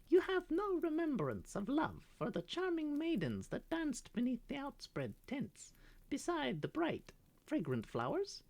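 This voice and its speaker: A woman's voice: on the high side, a little mellow, pitched above the performer's own voice, exaggerated voice. A woman doing a wistful homesick canary. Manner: lyrical, yearning, sing-song.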